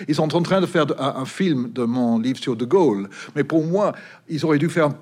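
A middle-aged British man, a native English speaker, answering interview questions as a historian guest, halting and full of restarts and fillers. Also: on the high side, a little mellow. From Britain. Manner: hesitant, frequent restarts and fillers.

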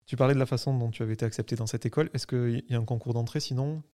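A man's voice: mid-range voice, fairly even intonation, racing, dark tone. A man interviewing a guest, asking questions informally and on familiar terms. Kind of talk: conversational interview.

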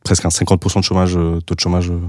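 A man's voice: sits low, monotone, rapid-fire, darkish tone, no echo, studio quality. A Spanish man in his thirties with a light Spanish accent, speaking French as a second language, talking through his career in a casual interview, with many restarts and fillers. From Spain. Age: 30s. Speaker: guest.

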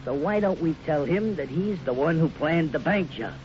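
An actor playing a sly, scheming bank robber in a radio western, smooth-talking and sardonic in a folksy way. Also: male voice, on the high side, expressive, moderate pace, natural voice. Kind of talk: 1950s radio western acting. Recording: muffled 1950s radio recording, faint noise.

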